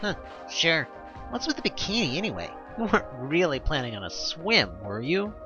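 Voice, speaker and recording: A man voicing a gruff, grumpy, protective male companion in an audio drama, grumbling and sarcastic with plenty of slang. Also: a little high, sing-song, normal speed, highs cut off, music in the background. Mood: sardonic.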